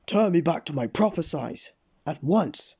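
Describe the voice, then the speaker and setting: A self-important, grumpy gentleman from a children's story, played by a man reading aloud. He is snappish and indignant, with a mellow but rough-edged voice. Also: mid-range voice, a little fast, lightly acted. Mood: indignant, demanding.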